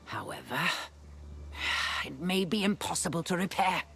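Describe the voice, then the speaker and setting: An actor voicing a dry, haughty scholar of ancient ruins in video game quest dialogue, measured and faintly condescending. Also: mid-range voice, animated, vocal fry, a bit bright, soft background music. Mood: pensive, explanatory.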